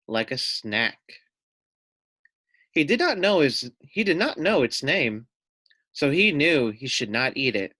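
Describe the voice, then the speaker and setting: Man, teacher's read-aloud, children's story, lively intonation, dry close sound, asides to listeners. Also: medium pitch, no room sound. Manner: addresses listeners with questions and asides.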